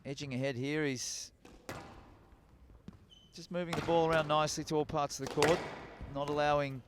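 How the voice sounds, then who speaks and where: A man's voice: on the high side, fairly even intonation, normal speed. Male squash commentator over court noise. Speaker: sports commentator. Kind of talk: casual squash commentary with banter. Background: ball knocks and squash court noise.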